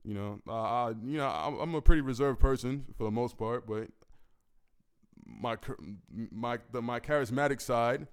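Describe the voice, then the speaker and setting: A man in his twenties with a deep, gravelly voice, a musician answering fan questions in laid-back, profane casual talk. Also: moderate pace. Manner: laid-back, profane, keeps asking "you know what I mean"; commanding, projecting delivery. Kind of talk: casual solo Q&A.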